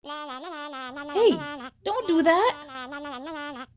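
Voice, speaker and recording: A woman reading a children's picture book aloud, slowly and expressively, with mock-stern emphasis. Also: sits high, expressive, a crowd in the background. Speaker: storybook reader.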